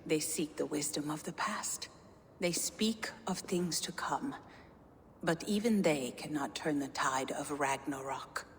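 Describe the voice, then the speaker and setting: Game seeress: reclusive, grave, breathy, very slow delivery in long reverb. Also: female voice, sits low. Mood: solemn, haunted. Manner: grave, halting, mysterious. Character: solemn, reclusive young seeress, daughter of a giant lord. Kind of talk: video game voice acting. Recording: long echoing reverb, faint noise.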